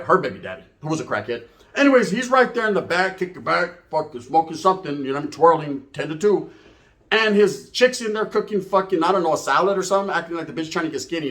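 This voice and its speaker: A man's voice: fairly high pitch, a bit bright. A middle-aged man tells a comedic story informally, profane and boastful, wandering off and checking in with tag questions.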